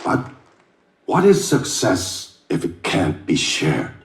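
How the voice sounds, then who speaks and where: An actor playing a proud, polished executive addressing his staff in a formal, measured speech for a video game. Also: low-pitched, wildly swooping pitch, background noise, full character voice. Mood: proud, congratulatory. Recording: echoey large room.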